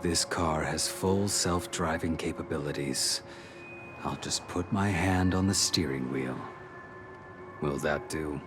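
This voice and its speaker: Very deep, grim, brooding assassin. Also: male voice, takes it slowly. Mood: flat, dry. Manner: deadpan, terse. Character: grim brooding male assassin, calm, weary of living. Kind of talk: video game voice acting. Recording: game music under the voice.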